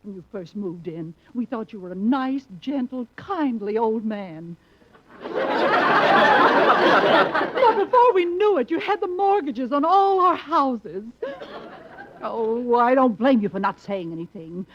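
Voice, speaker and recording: Outraged neighbor woman in a vintage radio play; high, swooping, muffled old radio sound. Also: sing-song, measured pace, pauses often, over a noisy crowd, heavy background noise. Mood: indignant. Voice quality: scolding, declamatory. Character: indignant neighbor woman scolding a miser. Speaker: radio drama actress. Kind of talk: 1950s radio acting.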